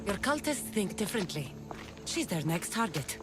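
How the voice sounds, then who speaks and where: A woman's voice: mid-range voice, big pitch swings, moderate pace, natural voice. An actress voicing a determined young female mercenary hero, firm and earnest in a video game cutscene. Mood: resolute. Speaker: game protagonist. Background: music.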